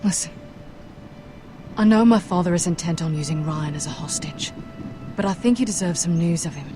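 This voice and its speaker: An actress playing a compassionate young noblewoman in a fantasy game drama, speaking in a measured, careful, gentle way. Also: fairly low pitch, lightly acted. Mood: gently reassuring. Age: young adult. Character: earnest, compassionate young noblewoman from a rival house. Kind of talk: dramatic video game acting. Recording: music behind the voice.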